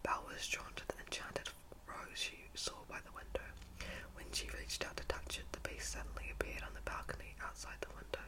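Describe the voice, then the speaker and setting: A woman reading a storybook as ASMR in a breathy, unvoiced whisper very close to the microphone, now and then stumbling and repeating words. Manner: halting read with stumbles and repeats.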